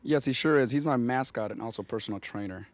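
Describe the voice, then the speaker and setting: A twenty-one-year-old man answering interview questions in a quick, joking, offhand way. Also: medium pitch. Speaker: contestant.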